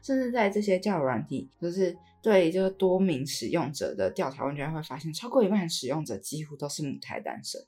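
Early 20s taiwanese mandarin girl, quite low voice, casual podcast cohost chat, guitar music behind. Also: sing-song, brisk, hardly any pauses, mellow, slightly rough. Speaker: podcast co-host. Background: background music with guitar.

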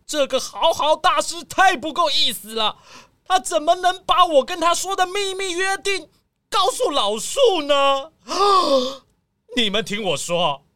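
A woman's voice: high voice, a bit bright, dry recording, full character voice. A woman playing a wailing guilty village auntie. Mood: flustered. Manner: wailing, stammering, tearful pleading. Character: remorseful village woman Aunt Heigu, arsonist caught out. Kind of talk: children's radio drama.

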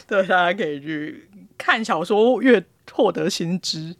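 A woman with a Taiwanese Mandarin accent chatting casually as a podcast co-host, often repeating words in quick bursts. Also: lowish voice, lively intonation.

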